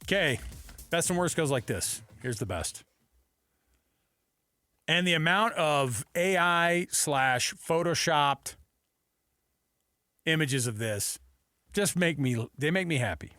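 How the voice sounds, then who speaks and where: A man's voice: fairly high pitch, expressive, slow pace, pauses often, pushed and bright, no echo. A man hosting sports radio with casual, conversational and playful delivery on a close studio mic. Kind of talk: casual sports talk with anecdotes. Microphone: close studio radio microphone.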